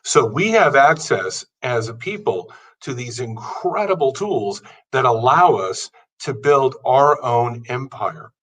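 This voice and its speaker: A man's voice: mid-range voice, animated, takes it slowly, hardly any pauses, fairly bright tone, recorded from a distance. A man in his fifties giving an earnest motivational talk, building his points through long lists. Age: 50s. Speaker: podcast host. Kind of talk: motivational monologue.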